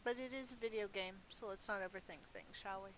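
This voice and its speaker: A woman with a mid-pitched voice chats casually through her own video game play. She muses in short, offhand remarks without hurry. A clear hiss runs through the home recording. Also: fast, rough recording. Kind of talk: casual gameplay commentary, talking to self. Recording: hissy home recording, steady noise.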